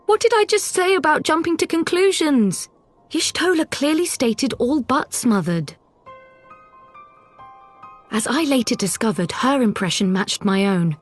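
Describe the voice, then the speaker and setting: A woman's voice: high-pitched, expressive, a little slow, faint music behind. A woman voicing a spirited, learned female scholar in a game cutscene, with formal, precise, schoolteacherly speech. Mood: measured, chiding. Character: small learned woman scholar, spirited, gently chiding mentor. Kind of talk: video game cutscene acting.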